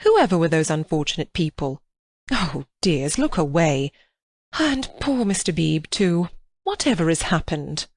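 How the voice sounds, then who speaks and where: A woman's voice: sits low, very animated, measured pace, no echo, heavily acted. A woman voicing a genteel, easily shocked mother. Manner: exclamatory, fretting.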